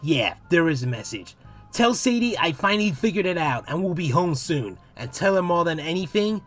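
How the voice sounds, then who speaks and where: A woman's voice: fairly low pitch, moderate pace, faint music behind. A woman voicing an earnest, caring young male space captain with an emphatic boyish manner, in a fan comic dub. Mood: soft, hopeful. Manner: emphatic, boyish, swinging from hushed to excited.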